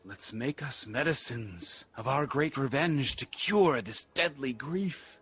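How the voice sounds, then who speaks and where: Male actor as an earnest royal heir for classical radio tragedy; husky, slow and declamatory verse. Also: medium pitch, expressive, a bit bright, full character voice. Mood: urging, stern. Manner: deliberate, declamatory verse delivery. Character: Malcolm, earnest exiled Scottish prince rallying for war. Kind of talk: Shakespearean radio drama acting.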